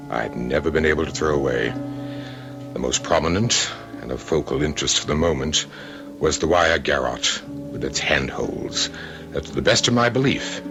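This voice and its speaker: Deep-voiced, haunted ex-soldier. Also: male voice. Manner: brooding, dramatic, deliberate. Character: haunted, self-doubting former soldier narrating his own mystery. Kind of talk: first-person radio drama narration.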